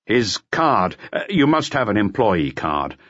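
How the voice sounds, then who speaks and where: A man's voice: on the low side, very animated, measured pace, fairly bright tone, dry recording, dull audio, heavily acted. A man voicing a placid, glum detective who puts short, clipped questions in an audiobook. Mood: glum, businesslike. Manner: terse, clipped questions and orders.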